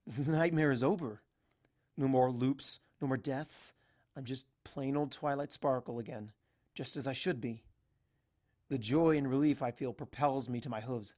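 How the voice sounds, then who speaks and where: A woman's voice: rumbling low, normal speed, a little mellow. A woman reading a first-person story aloud as an amateur narrator. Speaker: amateur fan fiction narrator.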